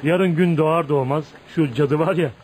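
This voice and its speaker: Radio play lead: bitter, brooding outcast in his thirties male actor, emotional and dramatic, higher register, light background noise. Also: moderate pace, a little mellow, lightly acted. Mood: defiant, vengeful. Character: tormented, bitter 35-year-old outcast man, brooding and volatile. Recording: noisy radio drama recording.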